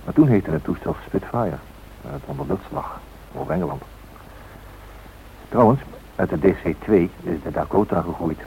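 A man's voice: deep voice, lively intonation, normal speed, creaky, pauses often, mellow, low-quality recording. An actor playing a wry, knowing male narrator in a radio play, speaking in terse phrases. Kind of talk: scripted radio drama narration. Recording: faint background noise on old recording.

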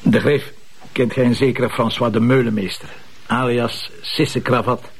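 An actor playing a wry, confident police commissioner in a crime drama, speaking colloquially with a Flemish accent. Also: male voice, mid-range voice, fairly even intonation, measured pace, breathy edge. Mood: probing, calm. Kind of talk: television crime drama acting.

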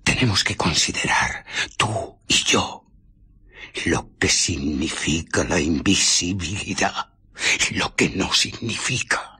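Husky, low, scheming Castilian mad scientist. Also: male voice, lively intonation, pushed and bright, exaggerated voice. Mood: cold, calculating. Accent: Castilian Spanish. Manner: intense, halting, emphatic, sinking into whispers. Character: embittered, scheming invisible scientist, coldly megalomaniac and menacing. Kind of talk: Spanish radio drama acting.

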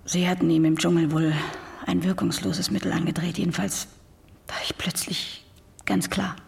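An actress playing a traumatised, guilt-ridden wife in a radio drama, speaking haltingly and often sinking into a breathy near-whisper. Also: female voice, on the low side, fairly even intonation, a little mellow. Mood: numb, confessing. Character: traumatised, guilt-ridden wife confessing to killing her husband. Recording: faint background noise.

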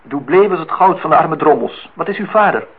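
Husky older man, tinny old radio. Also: fairly high pitch, lively intonation, fairly quick. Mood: nostalgic. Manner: formal address, dry teasing. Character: older well-off man, nostalgic, needling, courting host. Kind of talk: Dutch radio play acting. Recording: muffled, phone-like old radio recording.

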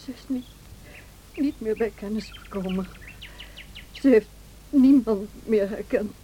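A woman playing a pious, tearful servant in a radio play, halting as she cries and tries to console. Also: mid-range voice, warm tone, lightly acted. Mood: grieving, halting. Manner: sobbing, halting, pleading. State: crying. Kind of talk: Dutch radio play acting. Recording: noisy old radio play recording.

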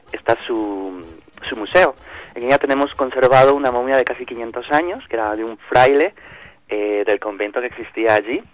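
A man's voice: lowish voice, dry recording. A man from Ecuador being interviewed over the phone, answering with many hesitations and restarts but with real enthusiasm for his hometown. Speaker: festival organizer guest. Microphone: phone line. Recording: dull lo-fi phone line.

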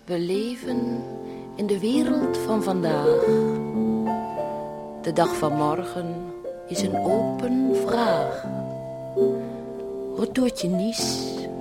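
Complacent housewife over piano music. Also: female voice, medium pitch, lively intonation, very slow, long pauses. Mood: carefree, indifferent. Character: complacent, homely housewife, lightly dismissive of big worries. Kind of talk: radio play acting, with verse set to piano music.

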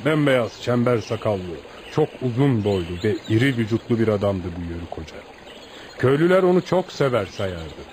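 A man's voice: mid-range voice, expressive, normal speed, close to an everyday voice. An actor playing a respectful village man in his fifties who tells stories earnestly and solemnly in a Turkish radio play. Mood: solemn storytelling. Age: 50s. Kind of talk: Turkish radio theatre acting. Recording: noisy radio drama recording, outdoor ambience.

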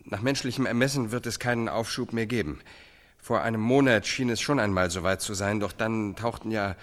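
An actor playing a formal American TV reporter presenting a live news broadcast in a radio drama. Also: male voice, lowish voice, brisk, a bit bright, close to an everyday voice. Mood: formal, solemn. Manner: formal, announcer-like.

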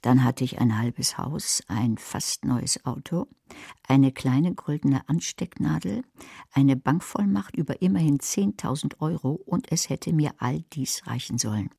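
An actress playing a dry, envious woman who narrates her own crime story in a radio drama, with a husky, gravelly voice and sardonic delivery. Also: rumbling low, expressive, unhurried, warm tone, no echo, full character voice. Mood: sardonic, calculating. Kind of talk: scripted first-person radio drama narration.

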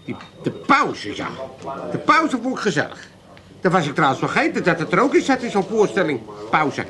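A man's voice: sits high, wildly swooping pitch, normal speed, crowd noise. An actor playing a cheeky, contrary old father in a comic radio play, drawling and teasing. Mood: grumbly amusement. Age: older.

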